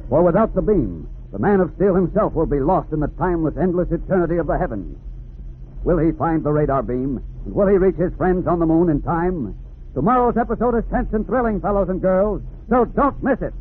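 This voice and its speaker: Man as old time radio serial narrator, building suspense, measured and dramatic, thin phone-like sound with a bit of hiss. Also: on the high side, expressive, lightly acted. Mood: suspenseful. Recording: narrow-band vintage radio, faint noise.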